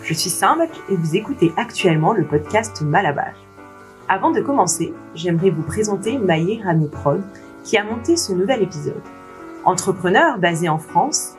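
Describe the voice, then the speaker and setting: A woman hosting a podcast, upbeat and friendly as she introduces her guest and chats with her. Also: fairly low pitch. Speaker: podcast host. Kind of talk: podcast hosting, scripted intro then casual chat.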